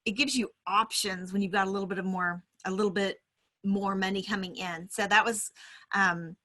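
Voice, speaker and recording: A woman's voice: mid-range voice, steady tone, moderate pace, bright, forceful tone. A woman hosting a podcast, chatty and enthusiastic while introducing her guest. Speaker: podcast host.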